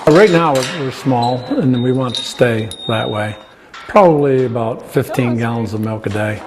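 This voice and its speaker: An older American man explaining his work informally and step by step, in a halting manner. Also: mid-range voice. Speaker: farm owner and cheese maker. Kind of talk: informal explanation while demonstrating. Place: indoors in a small farm creamery. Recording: clear background noise, working creamery room.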